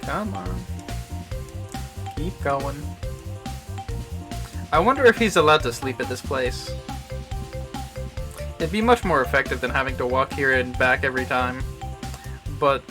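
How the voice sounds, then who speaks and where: A man's voice: medium pitch, measured pace, long pauses, over music. A man giving casual, rambling commentary as he hosts a visual novel livestream, often muttering to himself. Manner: mutters and hums filler syllables to himself.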